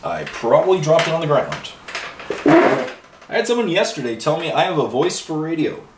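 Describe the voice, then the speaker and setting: A man's voice: on the high side, animated, normal speed, vocal fry. Rambling chatty man in an echoey room. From Ireland and Canada. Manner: rambling and chatty, with many fillers and asides. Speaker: miniature painting host. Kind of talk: casual chat while painting. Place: home hobby room. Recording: roomy echo, voice a bit far from mic, faint noise.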